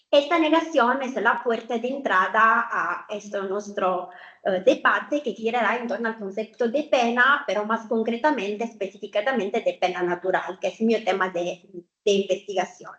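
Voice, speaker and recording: A woman from Italy with an Italian accent, speaking Spanish as a second language, giving an earnest academic seminar talk with rhetorical questions and frequent fillers. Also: a little high. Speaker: guest speaker. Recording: echoey room, muffled lo-fi sound.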